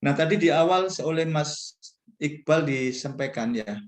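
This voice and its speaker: A man's voice: on the high side, animated, a little slow. A man gives an informal religious lecture to students as a professor, in a conversational, rambling, self-deprecating way. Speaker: university professor speaking as guest mentor. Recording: echoey, roomy sound.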